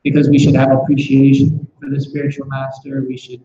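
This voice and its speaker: Soft flat-voiced man in echoey room. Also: medium pitch, fairly quick. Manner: reflective, restarts with repeated words. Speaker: class speaker. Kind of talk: scripture class with questions. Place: temple class room. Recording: echoey muffled room recording.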